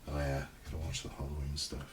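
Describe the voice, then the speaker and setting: A soft-spoken man doing casual ASMR, muttering as if to himself and slipping into whispers, in a roleplay style. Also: level tone, fast talker. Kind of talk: ASMR, casual muttering while browsing TV listings. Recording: clear background noise, page rustling and clicks. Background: page flipping and highlighting sounds.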